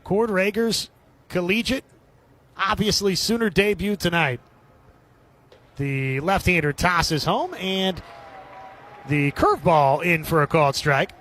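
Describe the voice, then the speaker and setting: High-pitched male baseball play-by-play announcer. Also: expressive, takes it slowly, pauses often, fairly bright tone. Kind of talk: live radio sports commentary.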